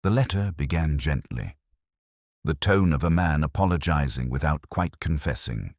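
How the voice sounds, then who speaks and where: A man reading a scripted first-person literary detective story in a measured, melancholy, reflective manner. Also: a bass voice, animated, no room sound, clean studio sound.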